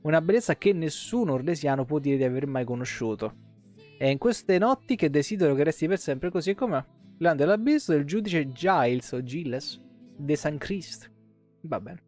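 Guy streamer reading game lore, kinda high voice thats wearing out, soft guitar music behind. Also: expressive, normal speed. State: voice tired and giving out. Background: soft plucked guitar music.

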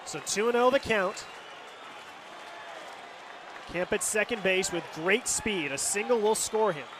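A high-voiced American man calls live baseball play-by-play for radio, describing each moment as it unfolds. A loud stadium crowd surrounds his voice, with clear background noise. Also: unhurried. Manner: descriptive, calling action as it happens. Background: ballpark crowd and outdoor stadium ambience.

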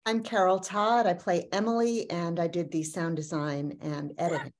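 A woman's voice: on the low side, fairly even intonation, moderate pace, background noise. A young woman, an actor and sound designer, chatting casually in a video-call discussion. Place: plain room indoors.

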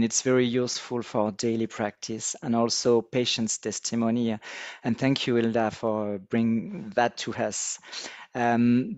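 A man in his thirties with a mid-pitched voice. He speaks casually as a panel guest, recorded dry on a headset. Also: clean tone, dry recording. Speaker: podcast guest, pediatric nurse. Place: indoors on a video call.

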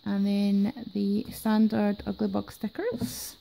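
A woman's voice: medium pitch, fairly even intonation, slow pace, vocal fry, warm tone, slight background noise, rough recording. A woman who is sick, chatting casually and enthusiastically as she unboxes craft items at her table, her voice affected by the illness. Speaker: planner unboxing vlogger. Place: indoors at a table. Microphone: camera filming her hands from above.

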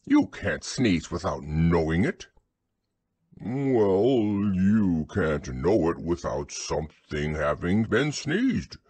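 A man voicing a humble, earnest, slow-witted bear in a storybook, with a plodding manner. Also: sits low, sing-song, a little mellow, breathy edge, no room sound, pitched below the performer's own voice, full character voice. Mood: insistent. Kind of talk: storybook character reading.

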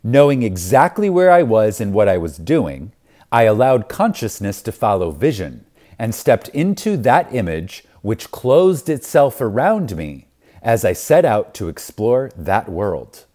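Slow, mellow man reading with dramatic swells. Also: fairly low pitch, very animated. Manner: reverent, deliberate, emphatic with pauses. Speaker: reader of a lecture. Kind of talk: scripted spiritual lecture reading.

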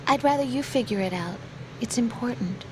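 A young female analyst voiced by an actress in a video game radio call, tender yet evasive. Her voice sits fairly high, with clear noise behind it. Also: a little fast, natural voice. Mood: hesitant, wistful.

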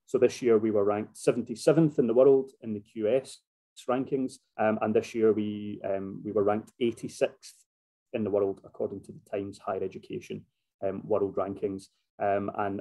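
A man from Glasgow with a broad Scottish accent talks through a university presentation on a video call. His voice sits lowish and mellow, and he fills pauses with ums and repeats words as he goes. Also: little pitch movement. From Scotland. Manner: hesitant, many fillers and restarts. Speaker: university international recruitment officer. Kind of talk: webinar presentation. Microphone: computer mic on a video call.

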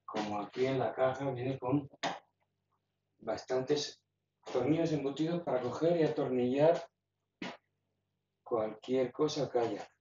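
A man's voice: medium pitch, takes it slowly, pauses often, mellow, distant mic. A man casually explaining, step by step, how he rigs his gear, talking in a stop-start way while handling it. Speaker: kayak fishing hobbyist showing his gear. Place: garage with roll-up door. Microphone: phone camera held in hand. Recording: echoey garage, phone mic, dull and noisy.

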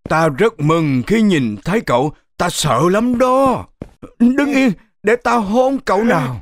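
Hapless cartoon wolf: theatrical exclamations, wildly animated pitch, dry close sound. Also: male voice, unhurried, no room sound. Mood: eager. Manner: exclaiming, dramatic, stammering when flustered. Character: hapless, excitable grey wolf, protesting his innocence. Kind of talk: children's cartoon dub.

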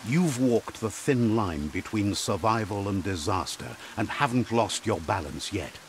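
An actor playing a wise, philosophical storyteller narrating a fantasy game in a solemn, measured way. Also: male voice, on the low side, animated, a little hiss or hum. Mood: solemn, reflective. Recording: music behind the voice.

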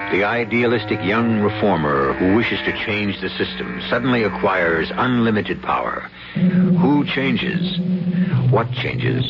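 A man hosting a 1970s radio mystery show with wry, theatrical scripted narration. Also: lowish voice. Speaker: radio drama host. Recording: dull lo-fi 1970s radio broadcast.

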